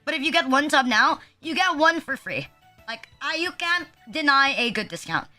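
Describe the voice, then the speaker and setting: A high, bright-toned young woman chats loosely on a livestream, her nose a little stuffed and sniffly. She rambles and jokes at her own expense, dropping swears along the way. Soft music plays faintly behind her. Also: expressive, normal speed. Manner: rambling, casually profane, self-deprecating. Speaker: VTuber streamer. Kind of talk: casual livestream chat.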